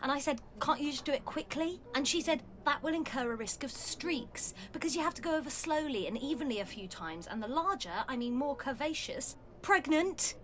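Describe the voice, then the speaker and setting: An actress playing a blunt, sardonic pregnant schoolteacher with a dramatic way of telling things, in an audio play. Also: female voice, high voice, expressive, a little fast, few pauses, fairly bright tone. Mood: indignant.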